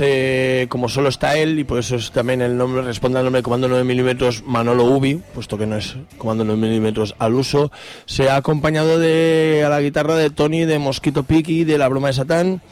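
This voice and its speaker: A man's voice: medium pitch, a little mellow. A man with a Spanish accent from Spain co-hosting a radio show, giving concert listings in an informal, chatty, colloquial way. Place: radio studio. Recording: music playing behind.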